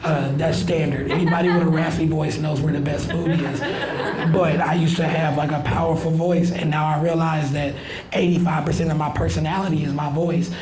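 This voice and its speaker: A man in his thirties with a raspy voice, doing a loose, rambling stand-up set into a handheld mic on a small club stage. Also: a little high, flat delivery, fairly quick. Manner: loose rambling delivery with filler "like". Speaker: stand-up comedian. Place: small comedy club stage with live audience. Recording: roomy club with crowd laughter. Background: audience laughter.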